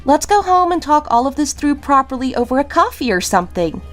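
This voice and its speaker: A woman plays a worn-out grown daughter in an audio drama, with a high voice. She sounds fretful, pleading and exasperated over faint music. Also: very animated, a little fast. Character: exhausted, exasperated grown daughter and young mother. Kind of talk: dramatic dialogue.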